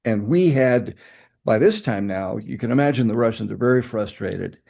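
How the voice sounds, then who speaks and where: A man's voice: medium pitch, lively intonation, normal speed, vocal fry, a little mellow. An older man telling stories in an oral history interview, reflective with emphatic asides. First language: English. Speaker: interviewee, nuclear fuel executive.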